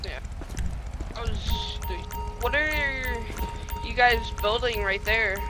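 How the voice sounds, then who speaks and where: High-voiced man over very loud music. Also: takes it slowly. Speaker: fellow player in a roleplay game. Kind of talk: casual in-game chat.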